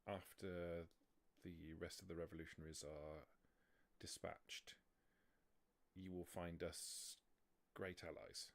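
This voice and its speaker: A man voicing a formal, courteous vampire envoy in measured, diplomatic phrases. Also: low voice, flat delivery.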